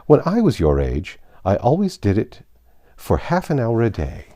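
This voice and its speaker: A man reading aloud, voicing a flustered old queen with a high, fluttering, excitable delivery. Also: moderate pace. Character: flustered, fussy old queen, woman over a hundred.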